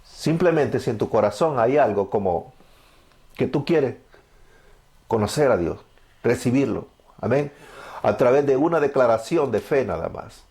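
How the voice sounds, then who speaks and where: A man's voice: mid-range voice, animated, unhurried, long pauses, warm tone. A man in his thirties from El Salvador, with a Salvadoran accent, preaching his testimony fervently and answering his own questions. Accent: Salvadoran Spanish. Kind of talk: evangelical testimony sermon.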